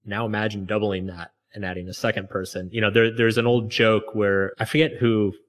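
A man's voice: lowish voice, little pitch movement, a little fast. A man being interviewed as a guest author, talking in a loose, reflective way with many fillers. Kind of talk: candid conversational interview.

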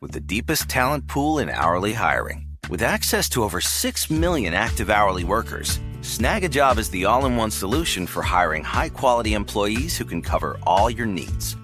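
A man's voice: lowish voice, very animated, normal speed, few pauses, soft background music. A man reading a punchy scripted commercial as an announcer. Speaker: advertisement announcer.

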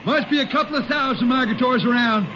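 An actor playing an obliging, chatty cotton gin boss with a folksy, hesitant manner in a 1950s radio western. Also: male voice, very high-pitched, fast talker. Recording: muffled, noisy old radio broadcast.

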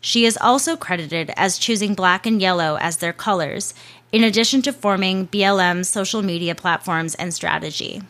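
A woman's voice: medium pitch, normal speed, fairly bright tone. A woman hosting a show, reading a scripted narration in a casual way with chatty asides and the odd swear word.

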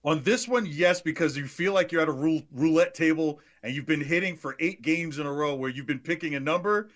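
A man's voice: on the high side, fairly quick, a bit bright. A man co-hosting a sports betting podcast, joking and bantering playfully in casual chat.

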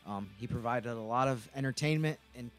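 A man co-hosting a sports podcast, chatting analytically in a measured, careful way. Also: medium pitch, faint music behind. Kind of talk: casual analytical chat.

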